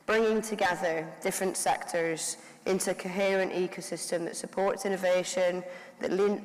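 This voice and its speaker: A woman giving a prepared conference speech and introducing a keynote speaker, in a measured, formal delivery. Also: on the low side. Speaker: council leader introducing the keynote. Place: large conference hall. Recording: long hall reverb.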